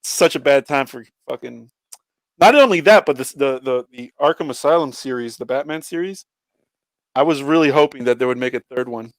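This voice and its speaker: A man's voice: medium pitch, moderate pace, no room sound. Man in slangy, sweary casual banter. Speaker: podcast co-host.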